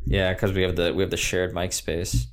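A man's voice: sits low, level tone, moderate pace. A young man chatting casually on a podcast over a headset mic, laid-back and wry. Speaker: podcast co-host. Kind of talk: casual chat.

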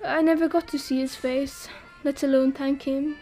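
A woman's voice: high-pitched, fairly even intonation, moderate pace, mellow, soft background music. A young woman from France telling her story in an audio drama, wry and self-mocking, laughing as she talks. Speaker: exile interviewed about a disaster. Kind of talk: acted monologue in an audio drama.

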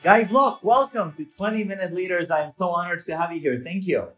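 A man's voice: sits high, a little fast, fairly bright tone, soft background music. Upbeat male podcast host. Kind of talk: scripted intro then interview questions.

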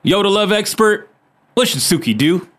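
A man's voice: high-pitched, lively intonation, moderate pace, fairly bright tone. A man in casual podcast chat, eagerly prodding his friends to do bits.

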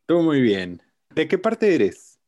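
A man's voice: on the high side, huge pitch swings, slow, warm tone, no room sound, muffled recording. A man hosting a podcast, chatting in a friendly, easygoing way with his guest. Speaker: podcast host. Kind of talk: casual interview chat.

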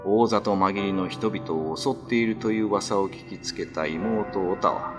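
A man's voice: fairly low pitch, measured pace. A man narrating a scripted horror tale in a dramatic storytelling style. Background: background music.

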